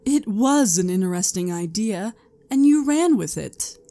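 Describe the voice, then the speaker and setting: A woman's voice: medium pitch, lively intonation, unhurried, dark tone. A woman voicing a flippant, amused, immortal pony princess in a fan fiction audio drama. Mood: breezy.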